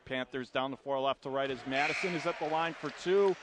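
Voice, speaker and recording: A man calling live college basketball play-by-play on the radio with excitable, projected delivery. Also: medium pitch, a little fast. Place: courtside in an indoor college arena. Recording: loud arena noise behind the voice. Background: gym noise, bouncing basketballs.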